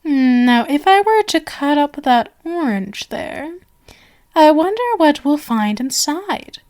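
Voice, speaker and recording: A woman's voice: sits high, animated, takes it slowly, a little mellow. A woman playing a genial but sinister stage magician, possessive and doting, with flowery, coaxing endearments in an ASMR roleplay. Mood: playful, teasing.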